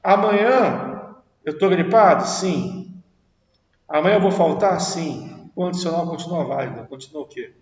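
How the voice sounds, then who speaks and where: A man with a Rio de Janeiro accent teaches a logic class. He talks in a lively way, asks the class questions and answers them himself. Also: fairly high pitch, a little slow. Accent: Rio de Janeiro Portuguese. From Brazil. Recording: echoey classroom, distant dull mic.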